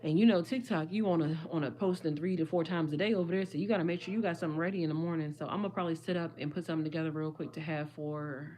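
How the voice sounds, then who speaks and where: A woman, her voice slightly hoarse, chatting casually and rambling to viewers on a livestream. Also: deep voice, steady tone, racing, hardly any pauses, dark tone. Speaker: vlogger.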